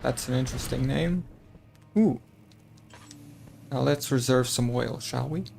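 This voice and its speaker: A man's voice: mid-range voice, unhurried, vocal fry, darkish tone, soft background music. A man streaming a game, chatting casually, thinking aloud and talking to his chat. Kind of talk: casual gameplay commentary.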